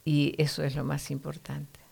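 Low, gravelly-voiced woman teaching the Bible. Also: little pitch movement, normal speed, dark tone. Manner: earnest, teacherly, frequent "¿no?" tags.

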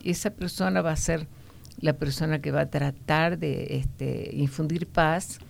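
Low, gravelly woman teaching the Bible. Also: fairly even intonation. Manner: explanatory, often ending points with a questioning "no?"; unhurried, with frequent hesitation fillers.

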